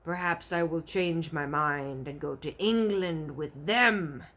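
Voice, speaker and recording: A woman's voice: deep voice, slow, fairly bright tone. A woman voicing a brutish man-eating male giant in a storybook, roaring and gloating in mangled grammar. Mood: gloating, boastful.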